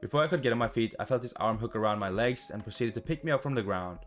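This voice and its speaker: A man's voice: mid-range voice, animated, quick pace, fairly bright tone, soft background music, lightly acted. A man reading as the shy, tired young narrator of a romantic visual novel. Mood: tender, flustered. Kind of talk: reading story text aloud.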